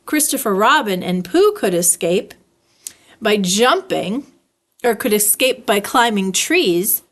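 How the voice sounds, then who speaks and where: Storybook piglet voiced by a woman reader: small, timid, wistful, highish voice with lively swings. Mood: anxious. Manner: wistful, fretful. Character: small, timid, anxious young piglet, male storybook animal. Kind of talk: storybook read-aloud.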